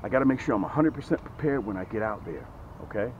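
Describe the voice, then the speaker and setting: A middle-aged man recording outdoors at a lakeshore, talking straight into a camera held close, pitching his fishing gear and repeating "okay?" and "guys" often. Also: quick pace, dull audio, a little hiss or hum. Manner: animated, repeats "okay?" and "guys", gestures with hands. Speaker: fishing vlogger and tackle seller. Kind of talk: energetic sales pitch and fishing vlog. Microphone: camera held close to the face.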